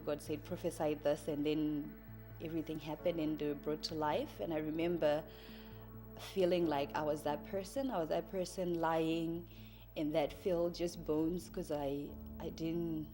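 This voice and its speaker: A woman's voice: low voice, flat delivery, unhurried, dark tone. A woman in her early thirties with a Zimbabwean accent, a native Shona speaker, giving a hesitant, reflective personal testimony. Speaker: church member telling her life story. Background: music.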